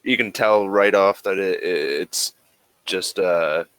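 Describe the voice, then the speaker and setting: A man running a tabletop game as game master, answering players haltingly as he thinks aloud. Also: low voice, a bit bright, clear, resonant tone, dry recording.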